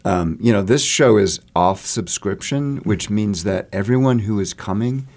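Man, fairly low voice, theater interviewee, conversational, exploratory, frequent rephrasing. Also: a little slow, creaky, a little mellow. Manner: thinks aloud, often rephrasing mid-sentence. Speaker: theater artist interviewed about a stage production.

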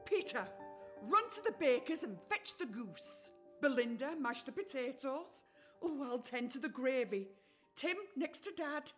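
A woman's voice: high-pitched, expressive, a little slow, a bit bright, faint music behind. An actress playing a flustered, fretful mother of a poor family in a radio play. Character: fussing mother Mrs. Cratchit.

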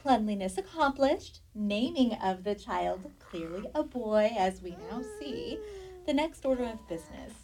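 A woman's voice: fairly high pitch, big pitch swings, a little slow, crowd noise, rough recording. A woman playing a doting, bossy nun. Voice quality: bustling and bossy. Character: fussy, doting nun caring for a baby. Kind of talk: community radio drama acting.

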